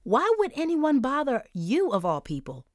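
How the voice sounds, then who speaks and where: A woman's voice: high voice, huge pitch swings, moderate pace, no echo, studio quality, pitched above the performer's own voice. A woman voicing a worried mother who keeps pressing her daughter with concerned questions. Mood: insistent.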